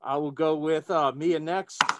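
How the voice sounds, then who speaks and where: A man's voice: on the high side, steady tone, normal speed, dry recording, studio quality. A man chatting casually as a player in a tabletop game. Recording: clearly noisy microphone with clicks.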